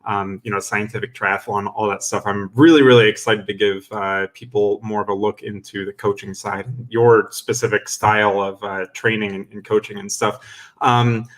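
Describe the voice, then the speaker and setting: A man's voice: lowish voice, level tone, moderate pace, a bit bright. A young adult man hosting a live-streamed interview webinar over a video call, enthusiastic and full of fillers and restarts. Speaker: webinar host.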